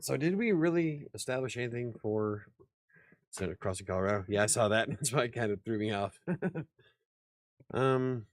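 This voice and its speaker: A man hosting a casual after-hours chat show, rambling, laughing often and swearing now and then, with a slightly raspy voice. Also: mid-range voice, moderate pace, dry recording, studio quality. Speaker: host.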